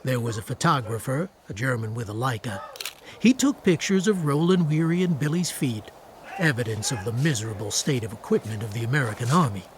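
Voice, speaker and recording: A man's voice: mid-range voice, animated, a little fast. Male narrator over noisy ambience. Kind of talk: scripted radio drama narration.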